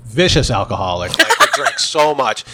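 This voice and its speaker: A man chatting casually as a podcast guest, telling bartending stories in an animated way and acting out the dialogue. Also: medium pitch, moderate pace, heavy background noise. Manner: animated storyteller, acting out dialogue, swearing freely. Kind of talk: casual comic bar storytelling.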